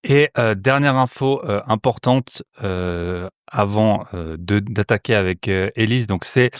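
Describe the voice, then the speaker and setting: A man's voice: lowish voice, unhurried, smooth, no room sound, studio quality. A man hosting an investment podcast in French, reading a promo and then welcoming a guest, with many filler hesitations. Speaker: podcast host. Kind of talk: podcast ad read and interview intro.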